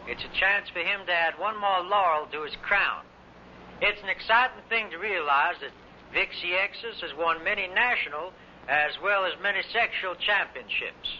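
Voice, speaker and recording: A man's voice: high-pitched, moderate pace, sharp tone. A man working as a sportscaster, announcing in a vintage radio style. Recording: dull old radio recording, faint noise.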